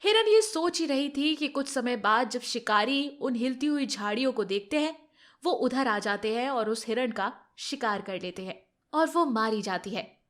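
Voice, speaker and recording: A woman's voice: on the high side, expressive, moderate pace, fairly bright tone. A woman narrating scripted moral tales for children as a storyteller.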